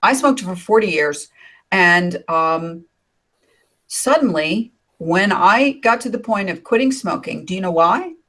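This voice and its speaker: Slow-talking raspy older woman. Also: lowish voice, animated, fairly bright tone. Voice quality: raspy from decades of smoking. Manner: rambling, with many fillers and asides. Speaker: co-host of an online hangout. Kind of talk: casual chat on a video call. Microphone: computer mic on a video call.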